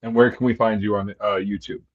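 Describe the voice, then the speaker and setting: Low-voiced male host, dull lo-fi stream. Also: level tone, fairly quick. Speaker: interview host. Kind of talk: live-stream interview. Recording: dull, lo-fi live-stream audio.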